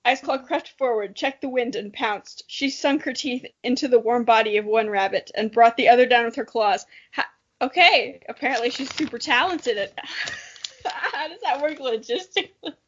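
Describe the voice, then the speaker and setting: High-voiced young woman, playful dramatic reader. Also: normal speed, a bit bright, husky. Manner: giggly, playful, self-mocking. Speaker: podcast co-host. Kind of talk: casual dramatic reading with chatty commentary. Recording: dull lo-fi audio.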